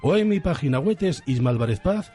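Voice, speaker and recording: A man's voice: on the high side, expressive, a little fast, mellow. A man from the world of radio announces in a theatrical way with an accent from Spain. His delivery rises and falls widely, over faint music. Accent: Spanish, from Spain. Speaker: radio host and narrator.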